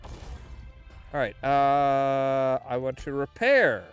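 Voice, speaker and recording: A man's voice: mid-range voice, very slow, clear, resonant tone, soft background music. A man giving casual, slangy, sweary commentary while playing a video game. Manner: profane, slangy.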